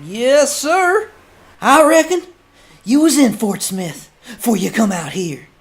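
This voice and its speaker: A man playing a rough, excitable frontiersman in an audiobook, talking in eager, folksy, ungrammatical phrases. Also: very high voice, slow pace, pitched above the performer's own voice, full character voice. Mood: eager, curious.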